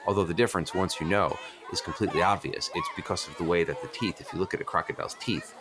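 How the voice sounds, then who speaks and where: Man playing a low-voiced, rambling inquisitive little boy. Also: quick pace, natural voice. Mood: insistent, pedantic. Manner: hesitant stammers, rambling run-on questions. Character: inquisitive, precocious eight-year-old boy, earnest and pedantic. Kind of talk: improvised comedy radio play.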